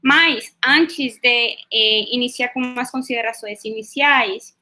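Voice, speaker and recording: A woman's voice: a little high, unhurried, sharp tone. A woman from Colombia with a Colombian Spanish accent, leading an online workshop over a video call, with many hesitations and tag questions. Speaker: workshop facilitator.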